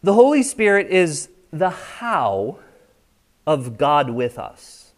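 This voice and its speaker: Lively, conversational male preacher. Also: a little high, slow. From United States. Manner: conversational, checks in with listeners often. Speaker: pastor. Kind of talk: sermon. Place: church sanctuary.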